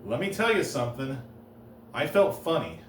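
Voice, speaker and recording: A man delivering dry, deadpan one-liners on stage, pausing and stumbling a little before each punchline. His voice sits at an ordinary middle pitch and rings in a roomy space, picked up from a bit back, with faint room noise behind him. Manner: deadpan, halting, with restarts and pauses. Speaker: stand-up comedian. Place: comedy stage. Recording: roomy live room, heard from a distance.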